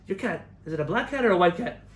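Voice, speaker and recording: A young man from the United States giving a lively lecture as a rabbi, telling stories, acting out quotes and laughing at his own jokes. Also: high-pitched, fast talker. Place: lecture hall in front of an audience. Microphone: camera recording of a live talk.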